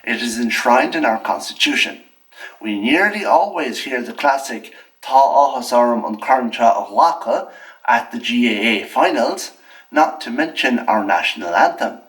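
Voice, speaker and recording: An Irish man who grew up speaking Irish reads a scripted video essay in an earnest, measured voice. His pitch sits in the middle range, and he argues his case calmly and steadily. The voice sounds a little distant from the mic. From Ireland. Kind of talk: scripted commentary.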